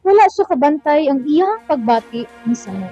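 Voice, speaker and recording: High-voiced Filipina drama narrator over music. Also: female voice, lively intonation, moderate pace. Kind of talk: scripted radio drama narration. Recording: muffled lo-fi recording.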